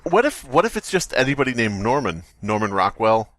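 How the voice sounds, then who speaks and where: A man's voice: medium pitch, big pitch swings, a little fast, a bit bright, no echo, studio quality. A man co-hosting a podcast, chatting casually, reading stories aloud, and laughing often. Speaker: podcast co-host.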